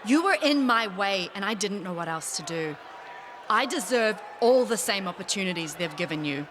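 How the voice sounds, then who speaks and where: A woman's voice: medium pitch, animated, moderate pace, a bit bright, slight background noise, lightly acted. A woman playing a defiant, scheming young pro wrestler in a video game cutscene. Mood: bitter, defiant.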